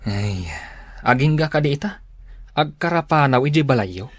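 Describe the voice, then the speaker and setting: A man playing a husband's blunt, nosy, teasing pal in a Filipino radio drama, talking in an animated way. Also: fairly high pitch, moderate pace. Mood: concerned, nosy. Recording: loud music bed behind the voice.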